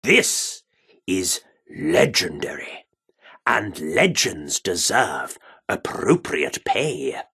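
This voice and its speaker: A man's voice: sits low, very animated, extremely slow, sharp tone, breathy edge, exaggerated voice. A man voicing an earnest, flamboyant, Shakespeare-obsessed theatre director in a video game, with grandiloquent, archaic, theatrical phrasing. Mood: wistful, persuasive. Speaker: voice actor as game character.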